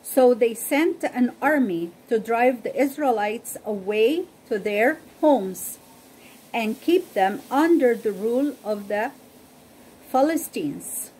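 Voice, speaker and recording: A woman whose first language is Arabic, slowly and carefully reading a Bible story aloud and repeating study questions as host. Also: on the high side, animated, darkish tone, slightly rough, low-quality recording.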